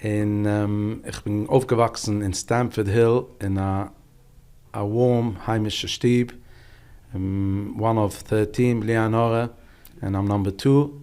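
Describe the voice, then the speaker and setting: Calm low-voiced man in his forties. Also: clear, resonant tone. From England. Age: 40s. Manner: calm, unhurried, mixes languages freely. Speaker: podcast guest, business leader. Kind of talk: relaxed interview storytelling.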